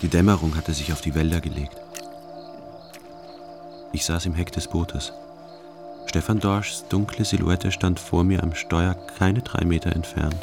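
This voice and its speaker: A brooding, very deep-voiced narrator. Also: male voice, steady tone, music in the background. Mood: cold, brooding. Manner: sober, introspective, deliberate. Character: brooding, detached first-person male narrator, coldly ruminating. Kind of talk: scripted first-person radio drama narration.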